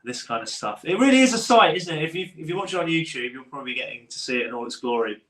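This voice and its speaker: Chatty male podcast host, relaxed and rambling, recorded with a roomy echo. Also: fairly high pitch, fast. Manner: chatty, loose, laughs often. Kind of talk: casual podcast chat.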